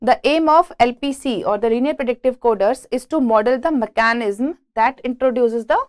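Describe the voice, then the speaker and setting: A woman's voice: fairly high pitch, moderate pace, dry recording, clean studio sound. A woman with an Indian accent giving an engineering lecture, reading and explaining slides. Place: indoor lecture room at a presentation board.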